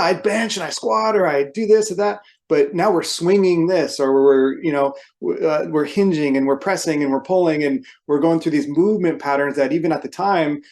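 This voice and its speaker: A man's voice: a little high, a little mellow. A man speaking as a podcast guest, casually explaining his ideas through anecdotes. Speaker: podcast guest, fitness trainer.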